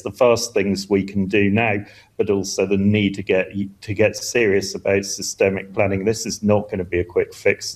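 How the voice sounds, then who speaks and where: A man's voice: low-pitched, a little mellow. A man speaking as an expert guest in a news interview, explaining earnestly and emphatically, often repeating words as he goes. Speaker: climate scientist, expert guest.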